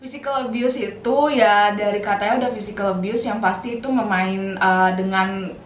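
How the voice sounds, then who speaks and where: A woman's voice: medium pitch, fairly even intonation, moderate pace. A nineteen-year-old woman student, talking casually in a discussion. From Indonesia. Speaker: university student. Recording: echoey room, faint noise.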